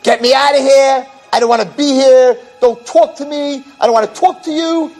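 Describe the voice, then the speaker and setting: A man voicing a miserable, angry, blind old man in a nursing home, grumbling and shouting complaints in a spoken story. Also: very high-pitched, steady tone, moderate pace, soft background music, voice pushed up. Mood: angry, cranky.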